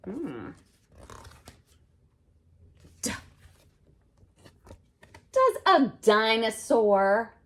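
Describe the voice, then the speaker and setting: A middle-aged woman hosting a storytime for small children, reading aloud in an expressive, exaggerated way with dramatic pauses. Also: on the high side. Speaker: host of a children's sign language storytime.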